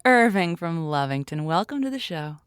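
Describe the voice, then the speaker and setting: An actress playing a theatrical, intimate radio host of a lonely-hearts call-in show, dropping into whispers at times. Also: female voice, fairly low pitch, very animated, moderate pace, clean tone, no room sound, studio quality. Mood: brisk, welcoming. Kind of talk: scripted radio call-in hosting.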